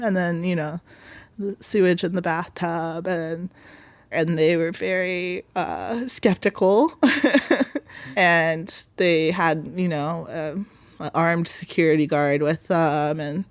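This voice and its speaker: A woman casually recounting events in an interview, somewhat halting and hesitant. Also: on the low side, steady tone, no room sound. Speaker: interviewee, house resident. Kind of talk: casual anecdotal interview talk.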